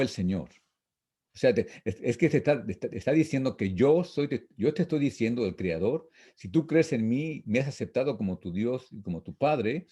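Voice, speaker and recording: A man teaching an informal Bible lesson, reading and explaining verses in a repetitive, halting, didactic way. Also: on the low side, mellow, slightly rough. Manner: didactic, repetitive, halting with frequent false starts.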